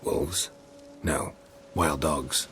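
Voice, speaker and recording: A man's voice: extremely low pitch, steady tone, drawn-out words, background noise, exaggerated voice. An actor playing a terse, dry middle-aged male monster hunter in video game dialogue, with game music behind. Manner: terse, dry, clipped sentences.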